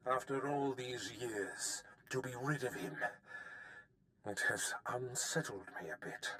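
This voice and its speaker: Older male Sith lord, video game villain, formal, pompous, smug, commanding, medium pitch. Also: measured pace, sharp tone, slight background noise. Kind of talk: scripted game dialogue.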